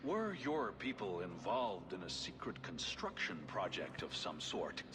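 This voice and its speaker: A man voicing a formal, deliberate alien in a video game. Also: sits low, wildly swooping pitch, full character voice. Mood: earnest. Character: slow, formal alien man addressing a human. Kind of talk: video game character acting. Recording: reverberant hall with crowd noise.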